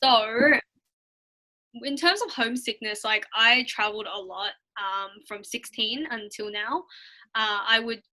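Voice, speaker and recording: A young woman, a Mandarin speaker, chatting as a guest and telling animated stories with frequent laughter. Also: on the high side, unhurried. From Australia. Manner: animated storytelling, laughs often. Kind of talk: casual chat.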